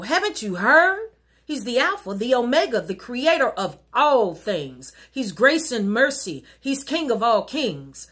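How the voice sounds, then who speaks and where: A middle-aged woman minister reciting an inspirational rhyming poem in an earnest, rhythmic, exhorting way, with a slightly husky voice. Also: high voice, a bit bright. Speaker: minister and poet. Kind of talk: recited rhyming spoken-word sermon poem. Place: wood-paneled living room at home.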